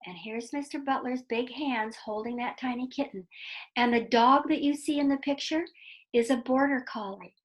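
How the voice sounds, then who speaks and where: A woman reading a children's story aloud in a deliberate, explanatory way, with asides to young listeners. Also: fairly high pitch, low-quality recording.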